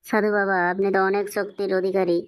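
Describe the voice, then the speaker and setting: Woman as a flat-toned, hurried cartoon swindler lad. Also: mid-range voice, dry recording, close to an everyday voice. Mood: alarmed. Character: hapless young male swindler, pleading and cheeky. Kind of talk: comedy cartoon dialogue. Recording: dull lo-fi, very dry cartoon dub.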